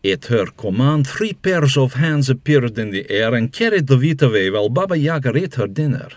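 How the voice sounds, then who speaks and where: A man acting as the measured storyteller of a fairy-tale audio drama, reading scripted narration. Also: medium pitch, animated, dry recording, close to an everyday voice. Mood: narrating.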